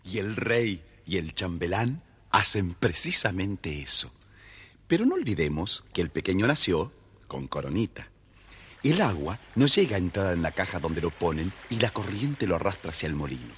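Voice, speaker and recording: A man narrating a children's fairy tale as a theatrical, husky-voiced storyteller. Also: low-pitched, sing-song, normal speed. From Argentina.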